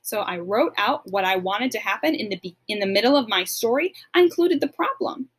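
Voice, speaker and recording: A woman's voice: medium pitch, expressive, fairly quick, a bit bright, slightly rough. A woman teacher giving a recorded lesson to young pupils, explaining simply and encouragingly, step by step. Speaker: elementary school teacher.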